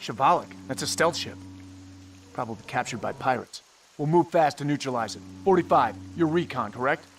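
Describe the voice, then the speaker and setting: A stern male military commander played by an actor in a video game cutscene, issuing clipped orders with firm authority. His mid-pitched voice sits back from the mic under loud background noise. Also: expressive, a little fast, distant mic, close to an everyday voice. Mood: businesslike command. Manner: terse, authoritative, giving orders.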